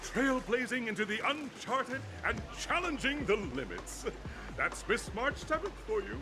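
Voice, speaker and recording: An actor playing a flamboyant, cheery game-show announcer in a video game, theatrical and grandly formal. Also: male voice, very high voice, big pitch swings, unhurried, heavily acted. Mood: amused, effusive. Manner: showy, chuckling, grandly formal. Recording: music behind the voice.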